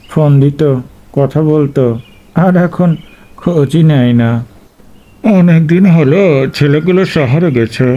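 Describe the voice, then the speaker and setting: Man as an elderly rural father, doting, plaintive, very mellow, slightly high, cartoon tale. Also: lo-fi sound, close to an everyday voice. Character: lonely, doting old village father. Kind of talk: cartoon story voice acting.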